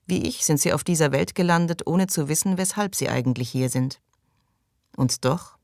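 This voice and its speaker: A woman's voice: low voice, gravelly, no room sound, studio quality. A woman reading scripted literary narration as an audiobook narrator. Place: close, dry recording.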